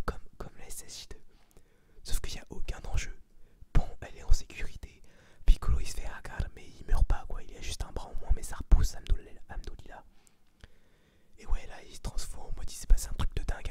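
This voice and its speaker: An eighteen-year-old man gives an informal ASMR review in a breathy whisper, slangy, sweary and blunt. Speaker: ASMR creator reviewing a film.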